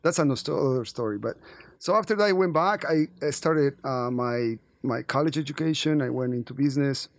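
A middle-aged man from Ecuador, telling his story in a relaxed, chatty way on a podcast. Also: mid-range voice, fairly quick, dry recording. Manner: relaxed, warm storyteller with frequent restarts. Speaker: chamber executive, guest.

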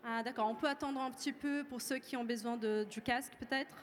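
A woman moderating a public debate, a native Portuguese speaker who reads her prepared remarks in a measured way. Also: fairly high pitch, steady tone. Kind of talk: formal event moderation. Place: lecture hall with audience. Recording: reverberant hall, faint room noise.

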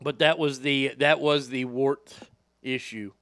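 A middle-aged man with a high voice that lacks bass, telling profane, animated stories as a radio host. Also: unhurried, dry recording. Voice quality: high voice without much bass. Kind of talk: casual storytelling podcast.

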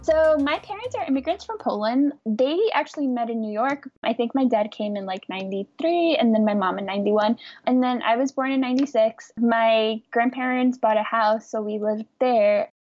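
A young woman from the United States telling her own story in a reflective, casual way, often pausing with "like". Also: on the high side, darkish tone, muffled recording. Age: young adult. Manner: reflective, with frequent "like" and restarts. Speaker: interviewee.

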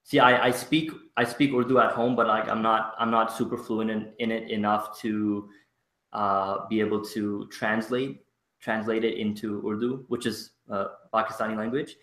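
A man's voice: fairly low pitch, level tone, fairly bright tone, reverberant. A young man from Canada, a guest in a casual conversation, speaking hesitantly with lots of fillers. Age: young adult. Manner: hesitant, halting, many fillers.

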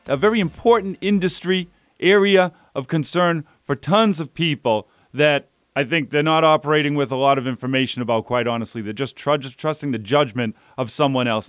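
A man's voice: fairly high pitch, a bit bright. A man hosting a local radio talk show, chatty and upbeat, rambling a little as he talks.

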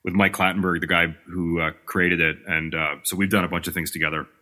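Canadian male guest, deep and bright-toned, brisk and relaxed in conversation. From Toronto, Canada. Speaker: guest cinematographer. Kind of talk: casual interview answers.

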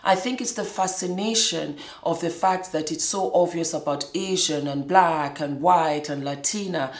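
A woman who grew up in Scotland, hosting a show and talking through her own experiences in an animated way, often breaking into laughter. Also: sits low, moderate pace, roomy sound. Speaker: host. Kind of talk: casual personal monologue.

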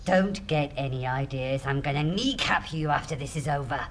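A woman's voice: low-pitched, moderate pace, slight background noise, close to an everyday voice. A woman playing a snappy, sarcastic, bossy companion in a comedy fantasy audio drama. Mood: scornful, bossy. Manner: sarcastic, sharp-tongued.

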